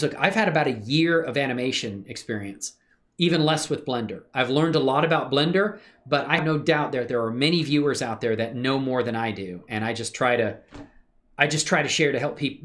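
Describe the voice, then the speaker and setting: A man's voice: medium pitch, a bit bright. A man hosts a livestream in a laid-back, chatty style. His mid-range voice moves up and down a great deal as he thinks aloud. He talks slangily and appreciatively to his viewers. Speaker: livestream host showing 3D animation work. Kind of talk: casual chat with live viewers.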